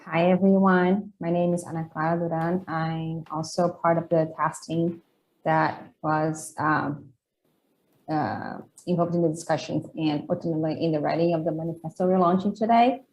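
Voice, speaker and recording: A woman's voice: sits low, steady tone, normal speed, a little mellow, a little hiss or hum, rough recording. A woman introducing herself as a panelist at an online event. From Brazil. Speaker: task team member.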